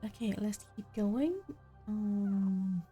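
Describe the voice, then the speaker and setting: A woman's voice: mid-range voice, steady tone, extremely slow, dark tone, husky. A woman streaming a game with casual live commentary, musing and thinking aloud as she plays. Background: faint game music.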